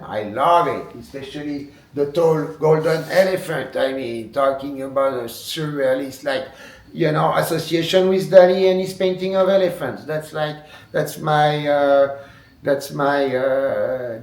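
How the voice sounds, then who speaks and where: A man speaking English with a French accent, his first language being French, talking as a wood sculptor in a documentary interview, hesitant with many fillers and restarts. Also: mid-range voice. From France. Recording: echoey room, distant mic.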